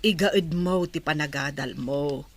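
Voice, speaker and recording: A woman's voice: sits low, measured pace. A woman with an Ilocano accent playing a caring, earnest mother of modest means in an audio drama. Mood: tender, earnest. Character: caring, earnest poor mother advising her child.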